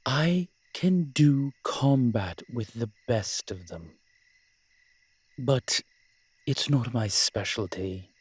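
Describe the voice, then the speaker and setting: A man acting as a wry, flirtatious incubus demon in a roleplay audio drama, teasing and sardonic, with a husky, breathy voice. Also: mid-range voice, lively intonation, takes it slowly, no echo. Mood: nervous, candid.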